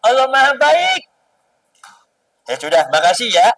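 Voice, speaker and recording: A man's voice: extremely high pitch, sing-song, unhurried, fairly bright tone, no echo, far from the mic, exaggerated voice. A kindly male religious teacher played by a storyteller, grateful and easily flustered. He exclaims and repeats himself as in a children's tale, with the voice some way from the mic.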